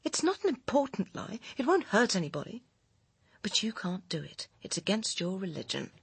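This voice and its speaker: Bitter, husky British woman. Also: mid-range voice, big pitch swings, normal speed, no echo, full character voice. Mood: scornful, accusing. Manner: pressing, cutting, articulate. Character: bitter, persuasive young female personal assistant with secrets. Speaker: radio drama actress. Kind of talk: radio crime drama acting.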